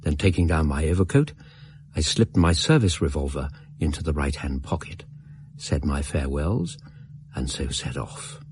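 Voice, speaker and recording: Deep-voiced English gentleman narrator. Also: expressive. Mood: calm, reminiscing. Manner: measured, literary, storytelling. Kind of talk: scripted radio drama narration.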